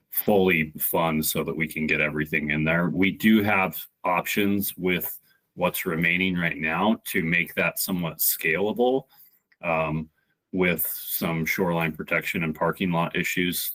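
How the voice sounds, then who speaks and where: A man presenting a project at a public meeting, explaining it in a measured way with frequent "um"s. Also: rumbling low, pushed and bright. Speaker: project applicant.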